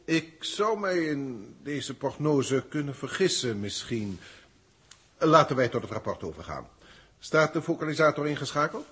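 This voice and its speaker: An actor playing a detached alien senior proctor who reports on human test subjects in a formal, measured way, in an early 1960s radio drama. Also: male voice, mid-range voice, big pitch swings, fairly bright tone, husky, full character voice. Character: cold alien overseer testing humans, middle-aged man.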